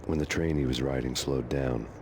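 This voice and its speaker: An actor playing a brooding, world-weary cop on the run, narrating a noir game in a grim, sardonic deadpan. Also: male voice, extremely low pitch, moderate pace, background noise.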